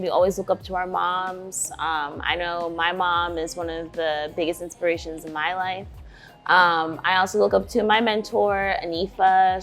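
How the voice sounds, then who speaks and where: Gravelly-voiced young woman from Nigeria. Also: mid-range voice, a bit bright. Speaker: shoe brand co-founder. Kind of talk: interview answers.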